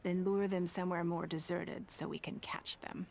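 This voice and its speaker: An actress playing a shrewd, composed noblewoman and political leader in a video game, speaking formally and deliberately. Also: fairly low pitch, flat delivery, creaky, a little mellow. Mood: calm, watchful. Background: background music.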